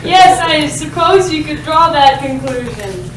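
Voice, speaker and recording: A woman acts out a villainous lunch lady in a comic stage play, seductive and sinister. Her high voice lingers slowly over insinuating lines. It is heard in a very echoey room. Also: animated. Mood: falsely sweet. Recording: echoey noisy room from far off.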